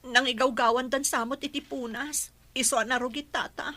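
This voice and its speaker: A woman's voice: high-pitched, fairly even intonation, moderate pace, sharp tone. A woman acting an anxious, devoted young mother in a radio drama, pleading in an Ilocano accent. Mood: subdued, concerned. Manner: fretful, pleading.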